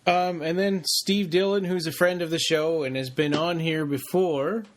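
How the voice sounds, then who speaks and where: A male podcast co-host speaks in a slightly high voice. His delivery is informal and conversational, like relaxed talk among co-hosts. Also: normal speed. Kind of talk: casual chat.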